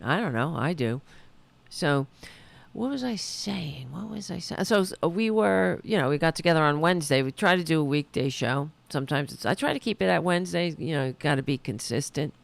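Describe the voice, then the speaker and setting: Gravelly, rambling woman podcast host. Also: sits low, animated, normal speed, dry recording. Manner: rambling, self-correcting, chatty. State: losing her voice. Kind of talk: casual political talk show.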